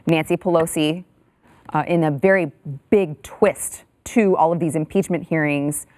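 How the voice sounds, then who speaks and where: A woman's voice: sits low, steady tone, measured pace, darkish tone, dry recording, studio quality. A woman from Texas hosting a news panel show, breezy and joking as she chats with her guests. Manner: breezy, joking, self-mocking. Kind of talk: casual panel chat.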